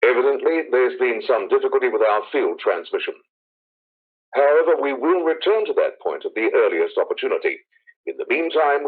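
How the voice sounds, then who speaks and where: A man's voice: medium pitch, slightly rough. An actor playing a formal male studio news announcer, urgent but composed, reading bulletins in a 1930s-style radio drama. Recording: thin, phone-like vintage radio sound.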